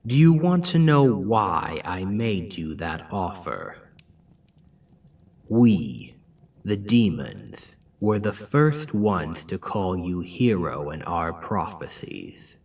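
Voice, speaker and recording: Man as a demon king, low-voiced, slow and deliberate, lively swings in tone, close whispering ASMR roleplay. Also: gravelly, slightly rough, full character voice. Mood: persuasive. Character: scheming male demon king, menacing and seductive.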